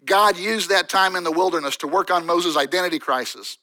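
A man delivers a sermon in a high, bright voice. He tells the story with energy and keeps turning to the congregation with asides. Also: a little fast. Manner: animated storytelling preacher, rhetorical asides to the congregation. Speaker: pastor.

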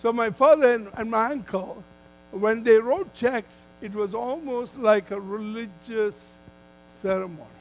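An older man preaching a sermon as a guest speaker, in emphatic, deliberate phrases that he repeats for weight. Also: very high voice, a little hiss or hum, rough recording. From Malaysia.

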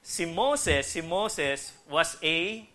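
A man's voice: on the high side, expressive, drawn-out words, pushed and bright. A man with a Filipino accent giving a conversational sermon, acting out dialogue and putting questions to listeners. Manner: conversational, acts out quoted dialogue. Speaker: preacher. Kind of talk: sermon, teaching with Bible readings and questions to the congregation.

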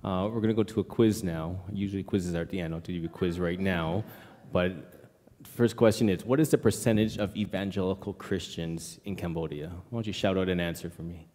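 A man missionary giving a church presentation, lively and joking with the audience. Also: low-pitched, fairly quick, big-room sound. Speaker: missionary speaker.

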